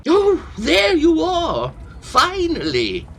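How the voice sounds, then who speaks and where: An actor playing a fussy, self-pitying hypochondriac old Victorian professor in a comic audio drama, theatrical and pompous. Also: male voice, very high-pitched, wildly swooping pitch, very slow, creaky, fairly bright tone, slight background noise, full character voice. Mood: fretful, impatient.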